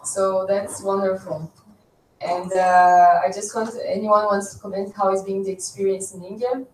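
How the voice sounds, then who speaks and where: A woman's voice: mid-range voice, little pitch movement, normal speed. Woman hosting from across an echoey room. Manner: hesitant, many restarts. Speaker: host. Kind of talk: casual group chat, moderating. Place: conference room. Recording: roomy, mic far off, faint noise.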